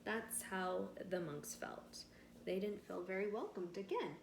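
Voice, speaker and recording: A woman's voice: medium pitch, a little slow, gravelly. Woman reading a storybook in a roomy space. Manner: expressive, explains words simply for children. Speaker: teacher reading aloud to children. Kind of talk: storybook read-aloud with simple explanatory asides. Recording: roomy echo with faint background noise.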